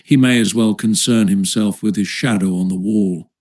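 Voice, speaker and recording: A man narrating an audiobook of a nineteenth-century essay in a measured, formal literary manner. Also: fairly low pitch, a little mellow.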